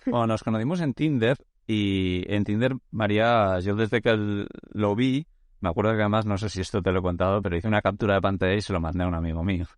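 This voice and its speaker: Low-ish guy hosting a chill podcast, loose talk, lots of restarts, dry close sound. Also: quick pace, dry recording. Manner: relaxed, loose, often restarts phrases. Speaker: podcast host. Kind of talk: casual conversational interview.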